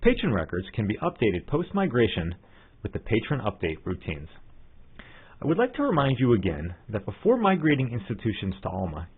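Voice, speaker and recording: A man giving scripted step-by-step tutorial narration as a software trainer, in an instructional manner. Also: on the low side, slightly rough. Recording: muffled narrow phone-like line.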